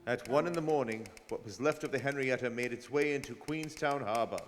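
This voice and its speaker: A man's voice: medium pitch, fairly quick, loud music behind the voice, close to an everyday voice. A man playing a stern, dogged detective who narrates a radio drama in an emphatic, clipped style. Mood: grave, official. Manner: emphatic, telegraphic. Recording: hall reverb, faint noise, community studio.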